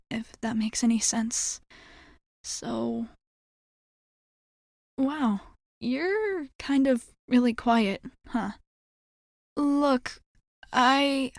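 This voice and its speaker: Woman acting a breezy, higher-voiced sporty schoolgirl. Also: a little mellow, dry recording, clean studio sound. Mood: sheepish, pleading. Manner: casual, rambling, hesitant backtracking. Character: sporty schoolgirl, breezy, blunt, sheepish, evasive about mischief. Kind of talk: ASMR roleplay acting, one-sided dialogue.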